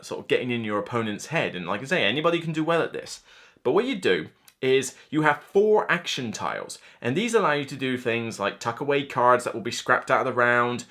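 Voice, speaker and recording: A man in his thirties giving a casual, unscripted board game review to camera, chatty and animated, acting out imagined reactions. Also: medium pitch. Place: indoor room lined with shelves of board games.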